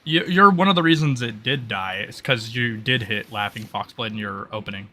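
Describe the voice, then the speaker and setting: Bright, forceful male game master. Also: mid-range voice, moderate pace. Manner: rambling, informal, thinking aloud. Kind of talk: running a tabletop roleplay game, casual explaining.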